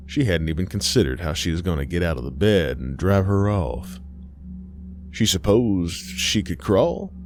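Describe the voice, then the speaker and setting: Man, low voice, folksy horror storyteller, scripted narration, faint music underneath.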